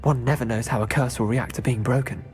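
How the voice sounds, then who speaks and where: An actor voicing a calm, grateful captive male wizard in a video game cutscene. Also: mid-range voice, fast talker, soft background music, natural voice.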